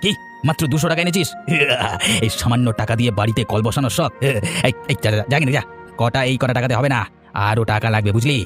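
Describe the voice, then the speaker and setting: Man acting a very fast-talking, greedy village bully. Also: mid-range voice, soft background music, close to an everyday voice. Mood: scornful. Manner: brusque, repeats words impatiently. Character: rude greedy village handyman uncle, bullying and scornful. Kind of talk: Bengali cartoon voice acting.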